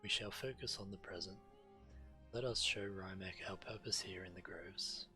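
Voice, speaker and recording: A man's voice: low-pitched, normal speed, bright, forceful tone. A man streaming a video game, reading the quest text aloud. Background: game music.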